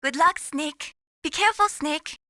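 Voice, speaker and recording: A woman voicing an earnest, caring young mission support analyst in a video game, encouraging and gently solicitous. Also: high-pitched, a little slow, dry recording. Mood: concerned, helpful.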